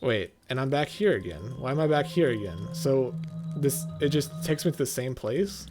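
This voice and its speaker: Casual male game commentator, medium pitch, offhand and slightly sardonic, game music underneath. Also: lively intonation, moderate pace. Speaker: gaming video host.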